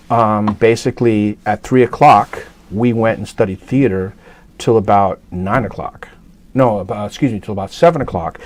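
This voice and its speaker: A man's voice: mid-range voice, a little mellow. A middle-aged man from New York City talking casually as an interview guest, rambling with many fillers and restarts. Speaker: guest actor.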